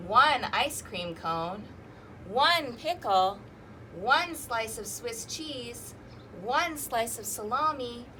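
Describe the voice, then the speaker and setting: A woman hosting a children's storytime, reading rhymes and picture books aloud in an animated, sing-song, exaggerated way. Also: sits high, slight background noise. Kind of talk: interactive children's read-aloud with rhymes and fingerplay.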